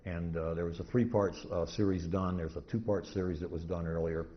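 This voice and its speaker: A man giving an informal, rambling lecture to an audience, halting and restarting often as he goes. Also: deep voice, steady tone. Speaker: convention presenter, radio historian. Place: indoor convention talk room. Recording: muffled, noisy live convention room recording.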